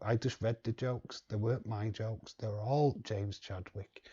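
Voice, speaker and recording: A man's voice: on the low side, warm tone, breathy edge, muffled recording. A man hosting a live watch-along stream, chatting casually and reading the chat aloud in a rambling way. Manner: rambling, reads chat aloud, chuckles often.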